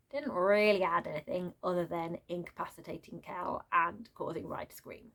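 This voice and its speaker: A woman giving an unscripted, chatty book rant as a reviewer, exasperated and prone to animated asides. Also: on the low side. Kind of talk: unscripted book rant, casual vlog chat.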